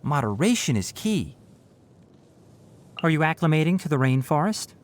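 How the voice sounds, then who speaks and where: A man voicing a sensible, wry young animal-eared forest ranger scholar in a game, speaking in an articulate, measured way with dry sarcasm. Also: on the high side, very animated. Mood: composed, explanatory. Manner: articulate, measured, dryly sardonic. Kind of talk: scripted game character voice lines.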